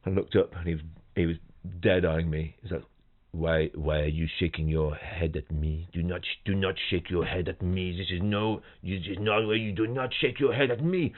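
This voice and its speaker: A middle-aged musician talks in a low voice, chatty and full of restarts. He sings rhythms and imitates the people in his stories. The sound is narrow and tinny, like a phone call. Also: moderate pace. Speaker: guest, professional trombonist. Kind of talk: casual podcast chat, anecdotes. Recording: thin phone-like audio.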